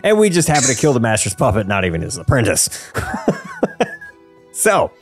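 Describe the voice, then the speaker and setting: Guy hosting a chatty gaming podcast, super animated and goofy, bit of music behind. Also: mid-range voice, normal speed. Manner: jokey, animated, playful. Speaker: podcast host. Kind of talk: casual podcast chat about game lore.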